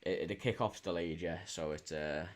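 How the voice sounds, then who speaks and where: Low-voiced Liverpool man hosting a livestream. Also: moderate pace. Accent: Liverpool English. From England, Liverpool. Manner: hesitant, informal, reading out viewer comments. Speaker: livestream host. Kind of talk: casual live chat with viewers, football watch-along.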